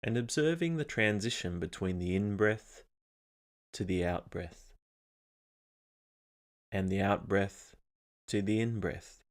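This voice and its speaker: Man, very low voice, meditation guide, calm, measured, scripted instruction.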